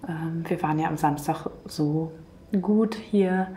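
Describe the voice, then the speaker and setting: A hoarse woman hosting a painting channel, talking gently and half-whispering in a calm, chatty way. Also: sits low, slow, a little hiss or hum. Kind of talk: calm chatty vlog talk.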